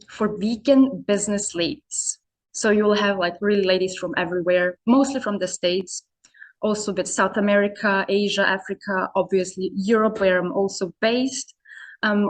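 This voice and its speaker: A woman originally from Serbia talks through her story in relaxed, conversational English. She hesitates often, leaning on "you know" and restarting sentences as she goes. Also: medium pitch, rough recording. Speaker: podcast guest, community founder. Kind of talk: casual interview answers.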